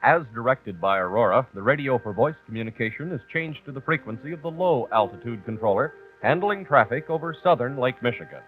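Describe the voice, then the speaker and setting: A man's voice: mid-range voice, lively intonation, a little fast, hardly any pauses, faint music behind. An American man reading scripted documentary narration on an old, muffled 1960s television soundtrack. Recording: muffled 1964 TV kinescope, narrow band.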